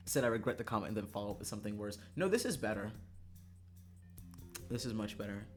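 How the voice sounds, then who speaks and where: A man livestreaming a visual novel, moving between casual, profane, joking chat and reading the game text aloud, quick to laugh. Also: fairly low pitch. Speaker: streamer playing a visual novel.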